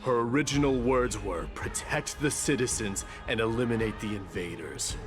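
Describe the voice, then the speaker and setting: A man's voice: fairly low pitch, normal speed, natural voice. A man playing a proud, combative warrior in a video game, speaking in an imperious, declamatory way. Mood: indignant, scornful. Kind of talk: dramatic video game acting. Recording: game music behind the voice.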